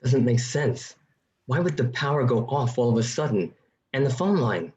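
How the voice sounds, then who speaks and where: An actor playing a puzzled, level-headed suburban husband in a radio play. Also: male voice, mid-range voice, animated, normal speed, a little mellow, close to an everyday voice. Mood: uneasy. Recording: slightly muffled home recording.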